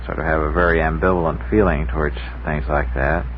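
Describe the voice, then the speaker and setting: A man's voice: rumbling low, fairly even intonation, a little fast. A man being interviewed on radio as a guest author, explaining things in a casual, hesitant way. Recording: dull vintage radio tape, faint noise.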